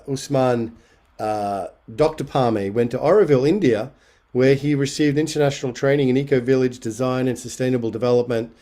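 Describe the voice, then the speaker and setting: A man's voice: medium pitch, mellow. A man moderating a panel, reading out a guest's introduction with small slips and corrections. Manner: reads with slips and self-corrections.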